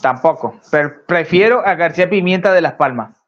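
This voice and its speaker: A man's voice: on the high side, expressive, fairly quick, lo-fi sound. A young man from Venezuela with a Venezuelan accent, a chatty and polite guest on a live stream over a video call. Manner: chatty, polite, says con todo gusto. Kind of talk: casual live stream chat.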